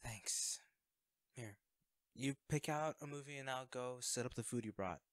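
A man plays a secret superhero in a one-sided audio drama, awkward and self-effacing. His mid-pitched voice stammers and hedges as he covers up for himself with a close friend. The sound is close and very dry. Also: measured pace, dry recording, lightly acted. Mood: sheepish, weary. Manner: hesitant, stammering, evasive.

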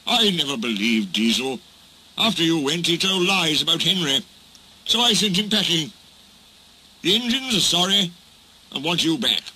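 A dignified railway controller from a storybook played by a male reader, authoritative yet kindly. His pronouncements are measured, with a lively rise and fall and a bright edge. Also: on the high side, slight background noise, voice pushed up, heavily acted, low-quality recording.

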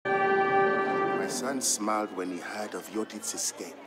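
A patient merchant father from Ethiopia, narrating a game's storybook legend. His voice is on the low side and he tells it fondly, in measured phrases. Music plays clearly behind him. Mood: reflective, storytelling. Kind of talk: scripted storybook narration in a game. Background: background music.

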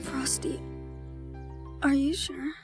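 A woman voicing a shy but stubborn young gorgon in an intimate romantic audio roleplay, sometimes dropping into breathy, whispery asides. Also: sits high, level tone, slow pace, darkish tone. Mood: tender, shy. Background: music.

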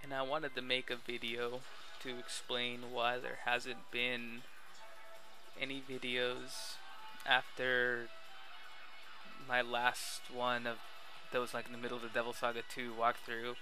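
A man's voice: mid-range voice, unhurried, soft background music. A young man talking casually in a rambling, slangy, self-deprecating vlog voice-over. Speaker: video creator addressing subscribers. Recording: steady background noise, amateur recording.

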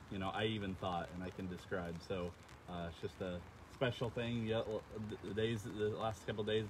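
A man in his thirties from Iowa with an American accent, a pastor chatting casually in an outdoor interview. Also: on the low side. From Iowa, United States. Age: 30s. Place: outdoors on a lakeshore beach. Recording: clear outdoor ambience and vehicle noise.

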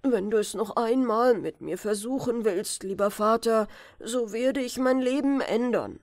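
A woman's voice: on the high side, a little slow, a little mellow, no echo, clean studio sound. A woman voicing an ashamed young storybook prince, earnest and solemn. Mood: contrite, resolute.

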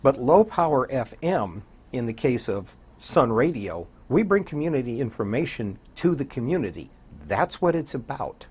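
An older man talking conversationally as an interview guest, a radio DJ with a husky voice. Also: mid-range voice, sing-song, normal speed, hardly any pauses, a little mellow. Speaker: guest, radio program director and morning show DJ. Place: indoor TV talk show studio.

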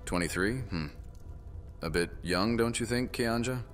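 Man playing deep, wry Roman commander. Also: a little slow, fairly bright tone, faint music behind. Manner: bantering, skeptical. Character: wry, teasing male Roman army commander. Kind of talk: fantasy audio drama acting.

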